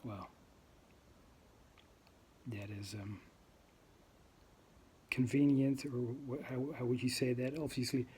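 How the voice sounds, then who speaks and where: A man's voice: mid-range voice, steady tone, slow pace, long pauses, dark tone, slightly rough. A man from the Netherlands with a Dutch accent, talking to camera in his first vlog, hesitant and full of ums and uhs as he searches for words. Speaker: vlogger. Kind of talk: unscripted talk to camera.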